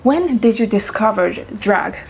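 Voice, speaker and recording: A woman's voice: mid-range voice, expressive, a little slow, a little mellow. A woman hosts an interview, asking her questions politely and a little hesitantly. Her voice sits in a middle range. A faint noise runs underneath. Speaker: interviewer, host.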